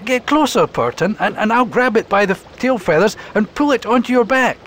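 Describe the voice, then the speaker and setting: A small, timid tortoise who stammers with polite gratitude, as a man puts him on. The voice is pitched well above the reader's own, with a lively rise and fall. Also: a little fast, slight background noise. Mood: eager. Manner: hesitant, stammering. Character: timid, grateful male tortoise. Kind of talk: storybook character voice.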